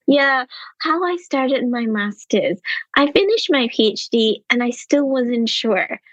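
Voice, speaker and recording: A woman's voice: fairly high pitch, animated, slow, fairly bright tone, no echo, muffled recording. A woman who grew up in Malaysia, answering interview questions in a thoughtful, storytelling way. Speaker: scientist guest.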